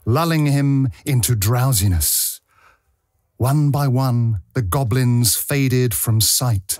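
A man narrating a dramatic scripted story in measured, deliberate phrases. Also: medium pitch, no room sound. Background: music.

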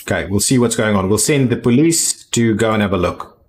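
A man giving casual video game commentary, thinking aloud in a halting way as he plays. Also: fairly low pitch, animated. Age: adult. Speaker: gaming video host.

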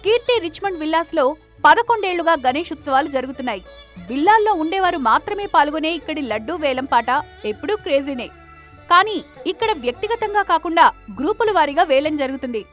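High-voiced woman narrating news. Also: lively intonation, a little fast, a bit bright. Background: background music.

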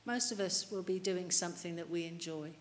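Low-voiced woman preaching in a church. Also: fairly quick. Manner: earnest, asks the congregation questions. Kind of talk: sermon. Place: church, indoors, at a lectern. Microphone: lectern mic. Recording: reverberant church hall, light hiss.

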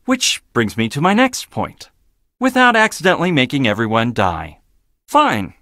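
A man's voice: medium pitch, very animated, normal speed, pushed and bright, no room sound, clean studio sound. An actor playing a prickly, condescending young male scientist in a video game, with clipped, sardonic delivery. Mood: dismissive, blunt. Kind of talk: video game character dialogue.

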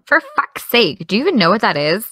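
A woman's voice: mid-range voice, very animated, fairly quick, sharp tone, breathy edge, no room sound, full character voice. A young actress playing an exasperated, defensive young woman with dry comebacks in an audio drama. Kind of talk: audio drama dialogue of a video call.

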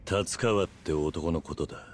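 An actor playing a stern, tough former yakuza boss who talks gruff and blunt. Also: male voice, low-pitched, unhurried, a little hiss or hum. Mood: blunt, demanding. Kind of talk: video game cutscene acting.

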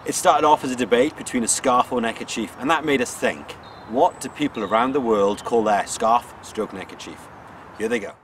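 A man's voice: mid-range voice, moderate pace, recorded from a distance, background noise. A man hosting a scouting show, introducing segments in an upbeat, presenting way. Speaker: show host.

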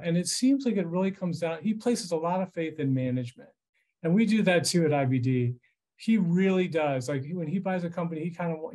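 A man in his fifties with an American accent and a fairly high-pitched voice. He explains things informally as a financial commentator, speaking conversationally over a video call from a room at home. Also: a little mellow. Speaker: financial journalist interviewed as expert. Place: small furnished room at home.

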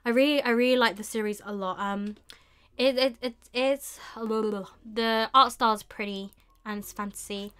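Smooth-voiced young woman, higher pitched, chatting casually. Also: steady tone, normal speed, a bit bright. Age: young adult. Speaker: live stream host. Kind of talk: casual chat while shopping online. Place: small indoor room with bookshelves.

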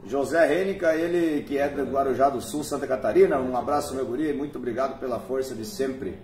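A man hosting a live music stream, warmly thanking viewers by name in a casual, chatty way. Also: medium pitch, brisk. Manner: warm, chatty, reads out viewer names and thanks them. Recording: echoey room from far off, faint noise.

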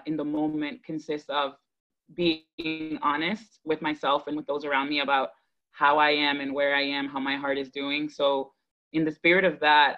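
Woman in her 30s sharing her story on a video call, earnest n thoughtful, kinda dull lo-fi audio. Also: sits low. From Dominican Republic, raised in Boston from age five. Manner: earnest, measured, thoughtful with deliberate pauses. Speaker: guest speaker, education advocate. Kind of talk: reflective personal storytelling to an online audience.

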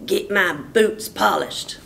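A woman reading a storybook, voicing a hateful, lazy young cowboy who bosses and taunts loudly. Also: medium pitch, vocal fry, heavily acted. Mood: bossy. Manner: loud, taunting, drawn-out.

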